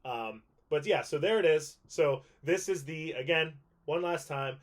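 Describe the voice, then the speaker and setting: A man's voice: on the high side, a little slow. A man in his thirties casually explaining things to viewers, rambling a little and repeating points for emphasis. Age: 30s. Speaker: video host and prop collector.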